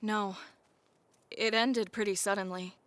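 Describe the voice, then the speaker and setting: A woman's voice: medium pitch, steady tone, measured pace, a bit bright, no room sound, natural voice. A woman voicing a guarded young half-genie woman in an audio drama, with dry, sarcastic banter. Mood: wry. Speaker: voice actor. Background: faint background music.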